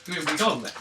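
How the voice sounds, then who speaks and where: A man acting as game master, improvising the narration in a halting, casual way, with swearing and throat clearing. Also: on the high side. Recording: roomy, distant mic, faint noise.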